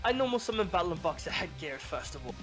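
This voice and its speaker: Young male, very varied intonation, card-game roleplay, declaring plays, soft background music. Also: high voice, very animated, quick pace. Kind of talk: gameplay roleplay, announcing moves.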